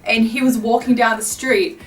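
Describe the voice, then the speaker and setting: A woman hosting a casual, chatty vlog in an animated storytelling way. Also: a little high, moderate pace. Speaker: vlog host. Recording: roomy, distant mic with faint music.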